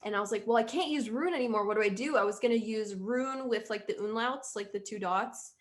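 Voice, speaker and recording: A young woman with a slightly high voice chats in a relaxed interview. She rambles freely and keeps slipping into quoting what she said back then. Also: a little fast. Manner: chatty, rambling, quotes herself often. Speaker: musician interviewee. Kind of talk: casual interview chat.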